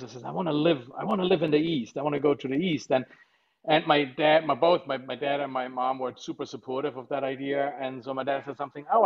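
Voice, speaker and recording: Middle-aged German-accented man, casual storyteller on a home video call, muffled sound. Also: medium pitch. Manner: jokey, chatty storytelling with frequent fillers. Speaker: veterinarian guest. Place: home living room. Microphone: video call webcam. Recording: slightly dull video-call audio.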